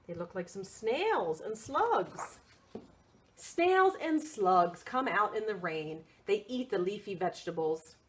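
A woman reading a picture book aloud for children's storytime, expressive and sing-song, prompting young listeners with questions. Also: mid-range voice, slow, a little hiss or hum.

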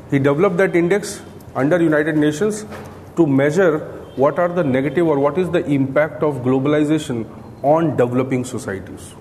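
In a reverberant room with clear background noise, a middle-aged Indian-accented man lectures to a class. His voice sits a little high for a man. He explains step by step and often tags his points with a checking question. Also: a little mellow. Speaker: coaching lecturer. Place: indoor classroom, at a podium beside a screen.

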